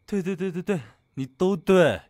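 A young man acting a cocky, teasing, hot-tempered high school boy in an audio drama, expressive and easily exasperated. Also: normal speed, lightly acted. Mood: exasperated, loud. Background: background music.

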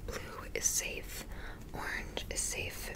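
A young woman whispering breathily in an ASMR roleplay, gently teaching as a witch in an unhurried way. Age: young adult.

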